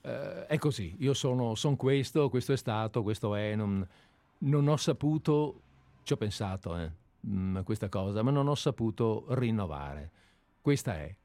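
A weary male radio host who talks in a halting way, full of restarts and pauses, as he takes leave of the show. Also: lowish voice, expressive, warm tone, no echo. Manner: hesitant, halting, many restarts. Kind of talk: casual on-air chat.

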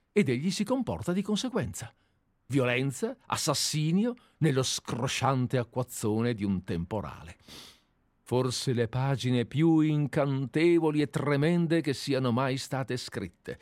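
A man's voice: mid-range voice, no room sound, studio quality, natural voice. A man reading the part of a fawning, meticulous male amateur investigator in a radio play, talking with effusive enthusiasm.

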